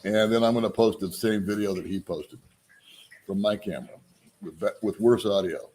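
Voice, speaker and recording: A middle-aged man hosts a relaxed live stream and talks to his viewers in a somewhat low voice. He pokes fun at himself and greets people by name as they join. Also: normal speed, a little hiss or hum. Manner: joking, self-deprecating, greets viewers by name. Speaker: vlogger hosting a live stream.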